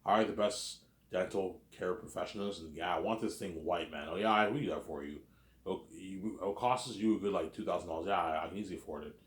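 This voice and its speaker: Brief: man, 30s, low voice, rambling vlog monologue in an echoey room. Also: quick pace. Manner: repetitive, keeps adding "obviously" and "man". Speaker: vlogger. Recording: roomy echo.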